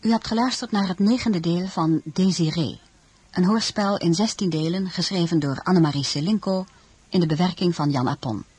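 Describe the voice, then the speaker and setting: A woman's voice: fairly low pitch, normal speed, a little mellow, slightly rough, no echo. A woman reading closing radio credits as an announcer in a formal, scripted style.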